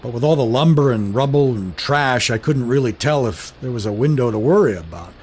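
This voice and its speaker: A man's voice: mid-range voice, lively intonation, fairly quick, a little mellow, slight background noise, close to an everyday voice. An actor playing a wry, observant 1930s everyman who narrates a radio drama in colloquial, musing first person. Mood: observant, measured.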